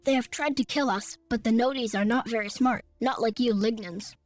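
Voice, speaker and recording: A man's voice: very high-pitched, normal speed, no room sound, voice pushed up. A man voicing a group of tiny, fluffy forest creatures in a storybook reading. They talk in a prim, chattering way. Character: tiny fluffy gray tree creatures, proud, clever.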